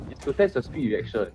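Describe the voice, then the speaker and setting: A man in a gaming voice chat, joking and teasing his friends as the match goes on. His voice sits at a middle pitch, and a faint hiss of noise runs under it. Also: warm tone. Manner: teasing, laughing, switches into Mandarin. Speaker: gamer in voice chat. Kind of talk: casual gaming banter.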